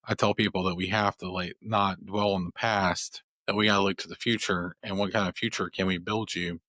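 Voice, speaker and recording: A man hosts a legal podcast, talking in a low voice with a bright, sharp edge. He rambles with restarts and fillers as he works through his ideas. The sound is dry and close. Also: fairly even intonation, fairly quick, no echo. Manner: thoughtful, rambling with many restarts and "you know". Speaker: podcast host, family law attorney. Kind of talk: conversational interview.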